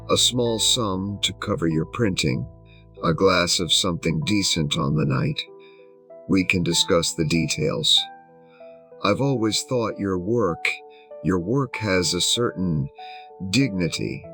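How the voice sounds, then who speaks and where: A man voicing a smug, patronising English gentleman of sixty-one in an audio drama, pompous and effusive in his phrasing. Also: deep voice, gravelly, heavily acted. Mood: smoothly patronising. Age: older. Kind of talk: audio drama character dialogue.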